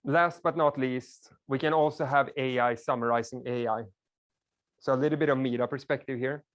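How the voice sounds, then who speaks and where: A man's voice: mid-range voice, fairly even intonation, moderate pace. A man whose first language is Swedish, giving a casual classroom lecture and explaining things with frequent "like" fillers.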